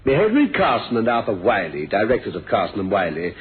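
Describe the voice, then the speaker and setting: An actor playing a dignified, dutiful older employee in a radio mystery drama, formal, precise and deferential. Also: male voice, lowish voice, huge pitch swings, fairly quick, slightly rough, heavily acted. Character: dignified, dutiful old-timer employee, quietly resentful. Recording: thin, phone-like old radio recording.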